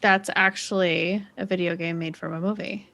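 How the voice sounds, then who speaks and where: Woman on a podcast, slow n creaky but bright, casual little questions and reactions. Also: on the low side, steady tone, slight background noise. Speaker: podcast panelist.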